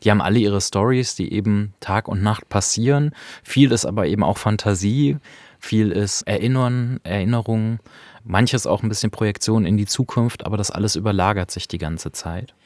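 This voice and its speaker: A man's voice: fairly low pitch, normal speed, a little mellow, dry recording, clean studio sound. A man talking through a radio play in casual conversation, thoughtful and searching for words. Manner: thoughtful, searching, many fillers. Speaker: radio host.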